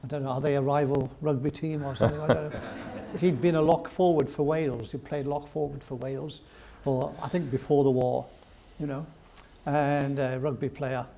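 Older man with a gravelly voice. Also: medium pitch, little pitch movement, normal speed, few pauses, warm tone. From England. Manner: rambling, with chuckles and restarts. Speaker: guest. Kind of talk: storytelling interview.

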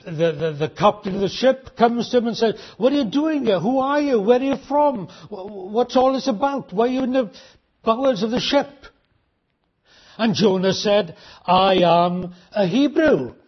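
Husky high-voiced man preaching emphatically. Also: expressive, highs cut off. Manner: emphatic, rhetorical questions, slows for emphasis. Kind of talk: sermon.

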